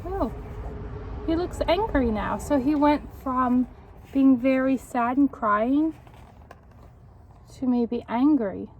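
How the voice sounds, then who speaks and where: A woman with a fairly high voice reads a picture book aloud for children, taking it very slowly. She is expressive and encouraging, like a teacher checking that young listeners follow along. There is some light noise behind her. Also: phone-quality sound.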